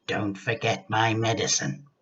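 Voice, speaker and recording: A man's voice: fairly low pitch, unhurried, a bit bright, lo-fi sound. A man voicing a grumpy, bossy, selfish old grandmother in a children's storybook, complaining and snappish. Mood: bossy, scolding. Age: older.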